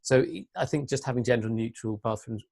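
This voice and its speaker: A woman with a deep voice and an English accent, speaking earnestly as an interview guest, with frequent restarts and fillers. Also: fairly even intonation, brisk, a little mellow. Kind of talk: interview conversation.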